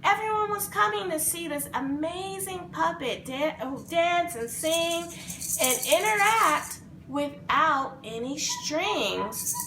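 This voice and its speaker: A woman storyteller with a high, bright voice retells a children's fairy tale. She is expressive and dramatic but takes her time. The room echoes around her, with faint noise behind. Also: takes it slowly, soft background music. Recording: roomy echo, faint background noise.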